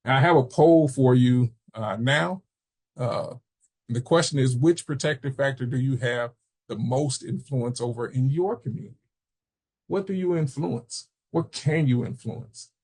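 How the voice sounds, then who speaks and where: An American man from Chicago giving an informal training webinar, explaining haltingly with personal asides. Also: medium pitch, a little mellow, breathy edge. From Chicago, United States. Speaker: webinar presenter, substance misuse prevention trainer.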